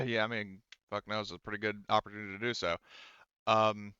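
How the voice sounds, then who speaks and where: A man running a tabletop roleplaying game as game master, feeling his way through the narration with halting, repetitive phrasing. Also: on the low side, flat delivery, sharp tone, clear, resonant tone, no room sound, muffled recording. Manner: halting, hesitant phrasing, repeats "you know" and "like".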